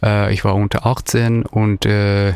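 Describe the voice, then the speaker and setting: A man interpreting spoken testimony, hesitant, with many pauses and fillers. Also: fairly low pitch, level tone, creaky, darkish tone, clean tone, no room sound, studio quality.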